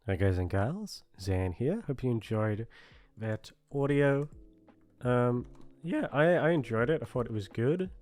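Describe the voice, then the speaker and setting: A man chatting casually and awkwardly to his listeners as a channel host, rambling and self-deprecating, with many halting pauses. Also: medium pitch, animated, darkish tone, no echo, soft background music. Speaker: voice actor hosting his channel. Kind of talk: rambling casual outro chat.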